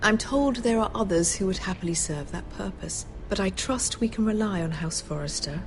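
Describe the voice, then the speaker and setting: An actress playing an imperious, scheming middle-aged queen regent in a fantasy video game, speaking in a measured, cutting, haughty way. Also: mid-range voice, natural voice. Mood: measured veiled threat. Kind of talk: dramatic video game voice acting. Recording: music behind the voice.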